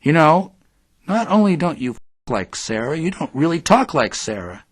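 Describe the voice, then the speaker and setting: A man's voice: a little high, very animated, breathy edge. A man playing a hesitant, placating reporter in an audio drama, speaking tentatively with a mild streak of defiance. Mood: suspicious, defiant. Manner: halting, tentative.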